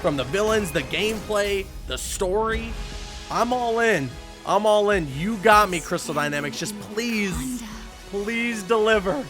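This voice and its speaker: A man giving casual, excited reaction commentary as a video host, emphatic and given to repeating himself. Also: high voice, unhurried, music in the background. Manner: enthusiastic, emphatic, repeats phrases. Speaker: YouTube host reacting to a game showcase.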